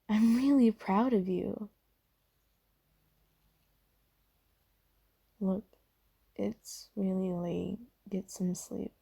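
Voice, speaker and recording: A woman voicing a gentle, caring demon in a soft ASMR roleplay, hushed, breathy and soothing, often slipping into whispers. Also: mid-range voice, full character voice. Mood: tender, encouraging. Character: gentle, caring female sleep paralysis demon comforting someone.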